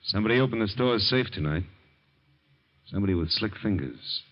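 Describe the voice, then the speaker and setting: A man's voice: lowish voice, lively intonation, a little fast. A man playing a weary, suspicious American police detective in a 1940s radio drama, terse and probing, with restrained sternness. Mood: quiet suspicion. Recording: muffled old-time radio recording.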